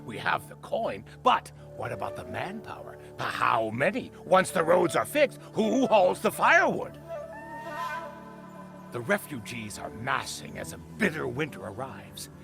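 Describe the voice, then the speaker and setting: An actor playing a bitter, hard-nosed senior craftsman in a video game, speaking in a scornful, deliberate, theatrical way. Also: on the high side, very animated, creaky, over music, heavily acted. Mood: pressing, exasperated. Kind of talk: dramatic video game voice acting.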